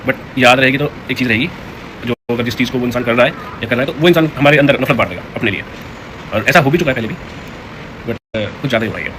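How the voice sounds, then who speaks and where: A man's voice: mid-range voice, fast. A man vlogger speaking casually and directly to viewers in a rambling, aggrieved way. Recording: clear vehicle noise in background.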